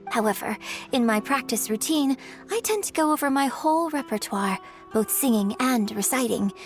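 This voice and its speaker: A woman's voice: a little high, lightly acted. A woman playing a refined, courteous young opera performer in a video game, speaking with polite, formal, elegant phrasing. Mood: courteous, earnest. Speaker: game character voice. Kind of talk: scripted video game dialogue.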